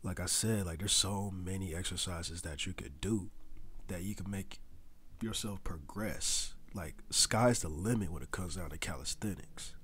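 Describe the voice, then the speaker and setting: A man's voice: deep voice, measured pace, fairly bright tone, husky. A young man giving a casual, slangy motivational voice-over about training, talking straight to his viewers like a coach. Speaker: fitness vlogger.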